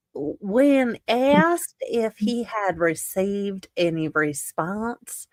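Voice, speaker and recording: A woman reading a court ruling aloud as comedy, haltingly, with exasperated asides. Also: medium pitch, no echo, studio quality. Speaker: reader in a comedy livestream.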